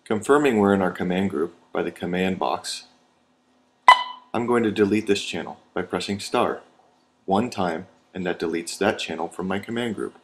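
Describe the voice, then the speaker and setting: A man's voice: low-pitched, moderate pace, slight background noise. An American man narrating a scripted training video as an instructor, in a methodical, step-by-step manner.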